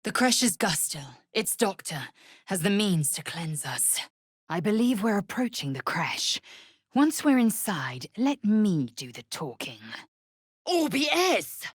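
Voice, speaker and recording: Fierce haughty alien warrior lady for a game, husky n slow, real dry close sound. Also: mid-range voice, very animated, no room sound. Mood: commanding, stern, then fierce, battle cry. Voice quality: husky, raspy. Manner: clipped, commanding, haughty, formal wording. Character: proud, fierce, imperious githyanki warrior woman. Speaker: video game character. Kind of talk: game voice acting, short scripted lines.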